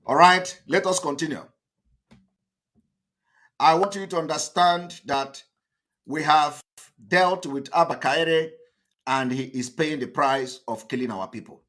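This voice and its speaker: A Nigerian man speaks as a political activist broadcasting to his followers. His voice sits a little high, and he is emphatic and defiant, hammering points home by repeating them. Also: a little slow, long pauses, a bit bright. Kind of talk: impassioned political monologue to camera.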